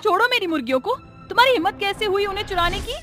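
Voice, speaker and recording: A woman voicing an earnest, high-strung young hen seller in a cartoon story. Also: lively intonation, rapid-fire, music in the background. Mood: indignant.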